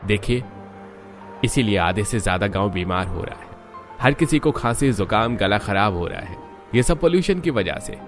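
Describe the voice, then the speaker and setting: A man's voice: lowish voice, animated, normal speed, over music. A man playing a concerned village doctor who explains things earnestly in a cartoon moral story.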